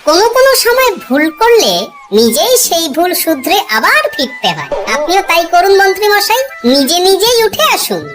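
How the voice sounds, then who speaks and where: A man voicing a clever, teasing court jester and henpecked husband in a cartoon, glib and coaxing, with a pitched-up voice. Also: very animated, normal speed. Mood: cheerful, polite. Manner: glib, mock-polite, coaxing. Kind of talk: animated cartoon dialogue. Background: children's cartoon music.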